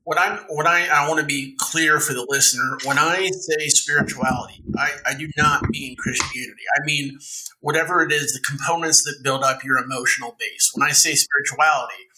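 A man's voice: fairly high pitch, steady tone, fairly quick, pushed and bright, slight background noise, low-quality recording. A man hosting a podcast interview, speaking conversationally and carefully clarifying what he means. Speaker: podcast host interviewing a guest.

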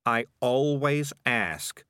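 Man acting self-important storybook animal boss, sulky, pedantic, defensive, mid-pitched, dry sound. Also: lively intonation, extremely slow, fairly bright tone, clean tone, no echo.

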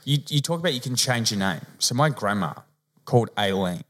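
Australian podcast co-host telling stories. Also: male voice, mid-range voice, lively intonation, fairly bright tone. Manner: storytelling, drawn-out asides. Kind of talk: casual banter.

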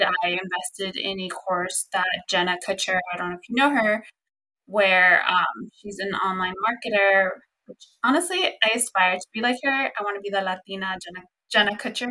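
Bright-voiced chatty young woman podcaster. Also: low-quality recording. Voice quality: squeaky voice. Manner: chatty, earnest, lots of fillers like "um" and "like". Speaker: podcast host. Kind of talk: casual solo podcast talk.

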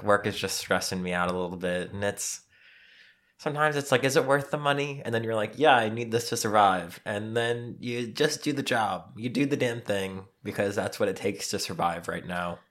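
Stuffed-up guy cohosting a podcast, bright voice, easygoing and chatty. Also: on the low side, normal speed. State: congested, sniffly from allergies. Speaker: podcast co-host. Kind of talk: casual chat.

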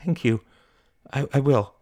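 A man's voice: mid-range voice, unhurried, mellow, voice pushed up, natural voice. A man voicing a naive, earnest young woman in an audiobook, hesitant and polite in manner. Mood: apologetic, grateful. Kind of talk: audiobook character voice.

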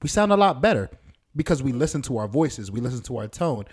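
A man's voice: mid-range voice, very animated, quick pace, a little mellow, husky. A man hosting a podcast in casual chat, talking emphatically with slang and swearing. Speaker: podcast host. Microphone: podcast studio microphone.

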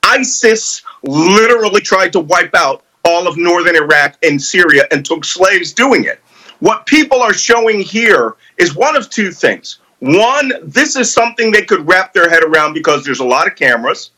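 A middle-aged man with a high voice speaks as a political commentator. His tone is bright and forceful, and he argues with emphatic assurance, like a seasoned guest on a discussion panel. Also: sing-song, moderate pace, low-quality recording. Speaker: guest commentator with military experience.

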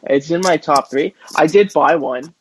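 A man's voice: on the high side, measured pace, crowd noise. A man co-hosting a casual podcast, easygoing and a little hesitant. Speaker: podcast co-host. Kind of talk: casual chat. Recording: slightly dull dry recording.

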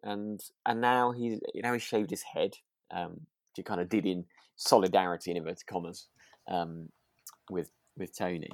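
A man with a fairly low voice talks in a relaxed podcast chat. He is hesitant, often doubling back to rephrase as he thinks aloud. The sound is dry, with very little room. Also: normal speed, no echo. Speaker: podcast co-host. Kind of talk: relaxed chat reviewing a radio drama.

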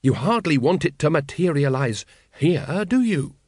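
A man's voice: fairly high pitch, sing-song, a little mellow, husky, dry recording, studio quality, pitched above the performer's own voice, exaggerated voice. A man voicing a pompous, scheming cult leader in an audiobook, commanding and impatient, stretching words for emphasis. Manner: commanding, hissing, drawn-out emphasis. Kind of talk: audiobook character acting.

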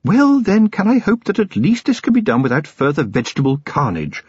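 Man voicing an imperious upper-class lady, raised pitch. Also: a little fast, slightly rough, no room sound, muffled recording, heavily acted. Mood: stern, brisk. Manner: calm, confident, imperious and polite. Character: upper-class lady, self-assured, commanding, briskly gracious wife.

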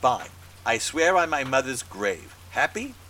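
A man's voice: mid-range voice, a little slow, recorded from a distance, slight background noise. An actor playing an exasperated, well-connected man hiring a detective in a 1930s noir audio drama. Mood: earnest, exasperated. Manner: animated, impatient, insistent.

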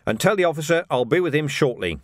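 Guy doing a weary middle-aged cop sergeant for an audiobook, firm n wry, lots of pitch movement, dry sound. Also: expressive, quick pace, dry recording, natural voice. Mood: brisk, wry.

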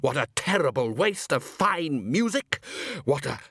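Man voicing a theatrical sneering villain, swooping pitch. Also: sing-song, unhurried, gravelly, fairly bright tone, dry recording, clean studio sound, heavily acted. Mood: theatrical contempt. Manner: mock-polite, grandiose, sarcastic, emphatic. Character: suave, sardonic, theatrical male villain with a sword.

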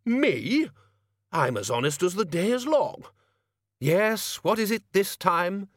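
A man's voice: high-pitched, sing-song, slow pace, dry recording, clean studio sound, pitched above the performer's own voice, full character voice. Man playing a blustering older wizard, swooping intonation. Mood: affronted. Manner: pompous, hearty, exclamatory. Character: blustering, self-assured older male wizard, head of college. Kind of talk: audiobook character acting.

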